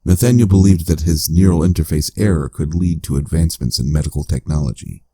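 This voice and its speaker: A man playing a calm, analytical AI companion in a cyberpunk audio drama, speaking in a measured, formal, clinical way. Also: a bass voice, slightly rough, no room sound, studio quality, exaggerated voice. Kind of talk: scripted audio drama narration.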